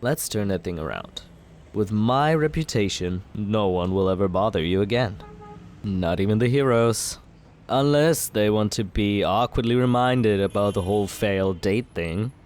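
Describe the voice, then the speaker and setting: A man in an ASMR roleplay as a villain, talking smoothly and persuasively in a teasing, flirtatious way. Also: lowish voice, moderate pace, darkish tone.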